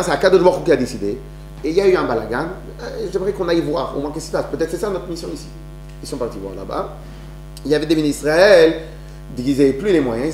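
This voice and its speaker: Conférencier, voix un peu haute, français, salle réverbérante avec léger bourdonnement. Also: male voice, on the high side. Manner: lively storytelling, many restarts and rhetorical questions. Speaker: lecturer. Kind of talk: religious talk to an audience. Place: lecture hall. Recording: echoey room with faint hum.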